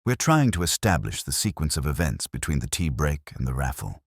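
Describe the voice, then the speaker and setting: Man as a mild, very deep-voiced English inspector. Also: big pitch swings, no room sound, clean studio sound, exaggerated voice. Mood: polite, measured. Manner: gentle, smooth, measured and polite. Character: mild, shrewd male English police inspector. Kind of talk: audio mystery story dialogue.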